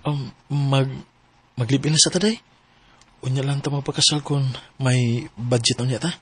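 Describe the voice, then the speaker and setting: An actor playing an ardent, coaxing young suitor in a radio drama, with a Cebuano accent. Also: male voice, medium pitch, steady tone, moderate pace, slightly rough, lightly acted. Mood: pleased, reassuring. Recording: music bed under radio drama voices. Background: music.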